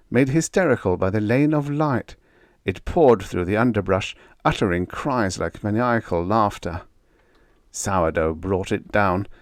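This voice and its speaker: A man narrates a story for an audiobook. His voice sits fairly low and moves through a wide, animated range. The sound is dry, free of room echo.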